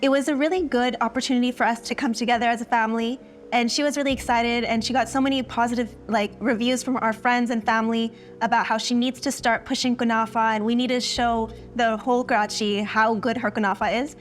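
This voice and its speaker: A young woman speaking quickly and upbeat in a documentary interview about her mother. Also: on the high side, fairly even intonation, faint music behind. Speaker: daughter of the founder.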